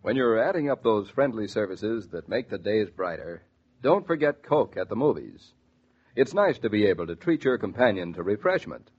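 A man's voice: fairly low pitch, sing-song, moderate pace, husky. A man with an American accent doing scripted 1940s radio announcing. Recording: dull 1940s radio recording.

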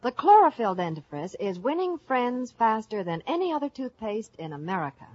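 Female vintage commercial announcer, lively inflection, husky voice, dull archival radio sound. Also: a little high, normal speed. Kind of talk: scripted 1950s radio advertising.

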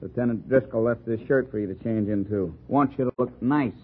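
Hard-boiled sneering sergeant played by a male actor, brusque, sarcastic, mid-pitched, vintage radio drama, muffled recording. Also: brisk, lightly acted. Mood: bullying. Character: hard, sneering police sergeant bullying a suspect. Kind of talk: 1950s radio drama acting. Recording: muffled, faintly noisy old radio recording.

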